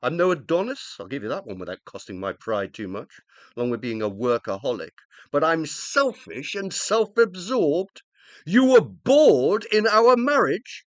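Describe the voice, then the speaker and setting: An actor playing a hurt, jealous husband who lives on as a computer consciousness in a radio play, brooding, sarcastic and defensive. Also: male voice, on the high side, sing-song, measured pace, dry recording. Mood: indignant.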